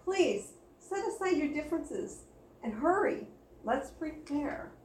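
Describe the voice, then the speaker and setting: A woman voicing a gentle, loving mother star who softly pleads with the animals in a storybook reading. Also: sits high, animated, slow pace, recorded from a distance, a little hiss or hum, pitched above the performer's own voice. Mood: tender, pleading. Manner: soft, pleading. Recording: roomy echo.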